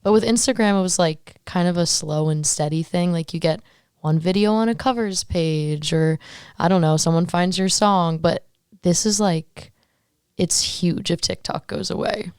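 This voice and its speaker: A woman of twenty-two hosting a podcast, chatting candidly and playfully on a studio boom mic. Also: darkish tone, clear, resonant tone, no echo. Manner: candid, playful, quick to laugh. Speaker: podcast host. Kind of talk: casual podcast chat. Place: small decorated podcast studio. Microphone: studio boom mic with headphones.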